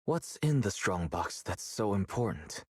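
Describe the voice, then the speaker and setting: Husky, cool-headed young brother. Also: male voice, on the low side, sing-song, unhurried, no echo, exaggerated voice. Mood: calm, matter-of-fact. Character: young brother, calm, analytical, quietly cocky partner. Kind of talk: English video game voice acting.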